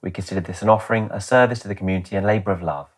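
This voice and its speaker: A man with a low voice introduces a podcast as its host. His delivery sounds scripted, and his tone has a husky, slightly rough grain. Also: steady tone, rapid-fire.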